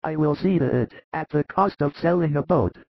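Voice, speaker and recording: A man voicing a warm-hearted older fisherman with a hoarse, gravelly voice and a comforting, fatherly manner. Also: on the high side, moderate pace, dry recording, pitched above the performer's own voice. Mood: resolute. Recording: dull lo-fi dry recording.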